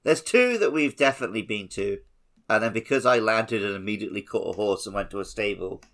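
A man with a fairly low voice, co-hosting a casual podcast. He explains things in a measured, matter-of-fact way. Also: expressive, a bit bright. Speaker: podcast co-host.

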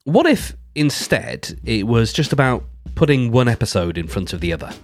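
Stuffy-nosed man, lively chat. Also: mid-range voice, moderate pace, over loud music. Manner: chatty, rambling, self-correcting. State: congested, nasal from illness. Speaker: podcast host and producer. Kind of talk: casual solo podcast talk.

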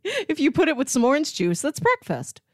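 A woman's voice: sits high, huge pitch swings, moderate pace, no echo, clean studio sound. A woman in her thirties co-hosting a podcast, talking casually about movies. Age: 30s. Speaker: podcast co-host.

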